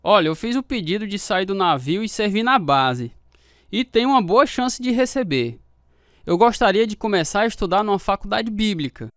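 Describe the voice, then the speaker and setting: Casting: earnest young Brazilian sailor husband, reassuring, very animated intonation, dry radio drama studio sound. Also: male voice, high voice, normal speed, dry recording. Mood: upbeat, affectionate. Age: young adult. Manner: reassuring, expressive.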